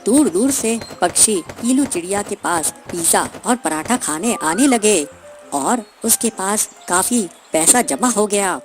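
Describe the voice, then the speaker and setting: A woman's voice: mid-range voice, lively intonation, measured pace, music in the background. A woman telling a scripted cartoon story as its narrator.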